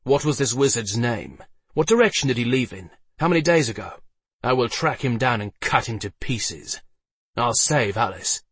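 A man's voice: fairly low pitch, moderate pace, fairly bright tone, dry recording, recorded from a distance. A man voicing a proud, demanding knight in an audiobook, commanding and formal, with menace. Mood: outraged. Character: proud, furious male knight, demanding and threatening.

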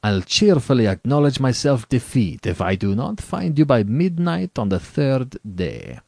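A man's voice: medium pitch, big pitch swings, measured pace, vocal fry, a little mellow, no room sound, clean studio sound, voice pushed up, heavily acted. A man playing a suave, condescending aristocratic general, wildly swooping. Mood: gleeful, challenging. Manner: courtly, condescending, leisurely and theatrical. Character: suave aristocratic Cossack general, cruel hunter, falsely courteous. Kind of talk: dramatic storybook reading.

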